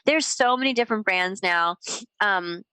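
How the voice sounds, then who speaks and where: A woman's voice: mid-range voice, moderate pace, bright, forceful tone, no echo, poor audio. A young adult woman co-hosting a podcast, with a stuffed-up, sniffly nose and a rambling, filler-heavy way of talking. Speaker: podcast co-host. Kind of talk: casual chat.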